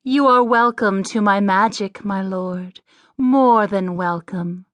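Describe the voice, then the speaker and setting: An actress playing a gracious, commanding sorceress in an audiobook drama, speaking formally and deliberately. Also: female voice, mid-range voice, no echo, natural voice. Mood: gracious, inviting.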